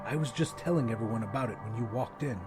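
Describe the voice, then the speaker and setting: A man voicing a gruff, laid-back, sardonic male smoker in an audiobook, with blunt casual talk. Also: quick pace, over music, close to an everyday voice. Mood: casual, proud. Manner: low-key, blunt, casual.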